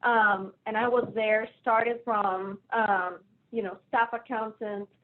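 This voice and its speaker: A woman's voice: medium pitch, fairly even intonation, slow. A woman from Colombia with a Colombian Spanish accent, speaking as a guest in a casual remote interview, chatty and self-deprecating. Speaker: guest, finance executive. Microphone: video call. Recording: phone-like narrow audio over video call.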